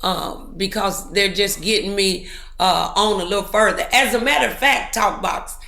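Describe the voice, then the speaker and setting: A woman's voice: medium pitch, normal speed, vocal fry, pushed and bright, echoey room. A woman hosting a talk show, speaking in an encouraging, preachy way full of motivational sayings.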